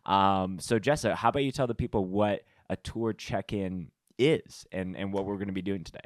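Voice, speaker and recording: Male podcast co-host, informal and chatty, slightly low register, dry close sound. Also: moderate pace, dry recording. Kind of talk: casual podcast chat.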